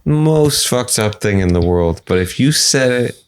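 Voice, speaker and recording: A man hosting a podcast in casual chat, animated and jokey, swearing casually. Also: fairly low pitch, measured pace. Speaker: podcast host.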